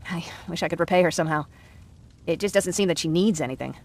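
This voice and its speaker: Game role: wry, wistful young female war survivor voiced by a woman, conversational delivery, gravelly fry. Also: fairly low pitch, fast, close to an everyday voice. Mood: friendly, wry. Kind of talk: naturalistic game cutscene acting.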